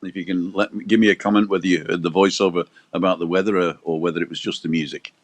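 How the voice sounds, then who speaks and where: A man's voice: sits low, little pitch movement, fast talker. A man co-hosting a casual livestream, chatting and joking as he reads out viewer comments. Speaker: livestream co-host. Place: balcony, outdoors.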